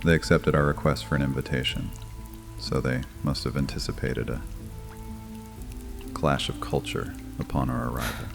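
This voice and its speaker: A man's voice: a bass voice, fairly even intonation, normal speed, gravelly, pauses often, over music, full character voice. An actor playing a wry, unruffled male vampire clan leader with dry, sardonic banter in an audio drama. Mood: firm, composed.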